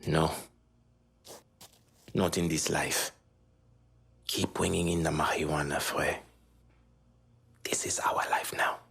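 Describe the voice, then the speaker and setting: Deep, husky Haitian gang boss. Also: male voice, flat delivery, slow pace, long pauses, a bit bright, whispered, exaggerated voice. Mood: solemn, resigned. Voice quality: husky, low voice. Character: grieving, embittered Haitian gang boss, middle-aged man. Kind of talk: dramatic video game dialogue.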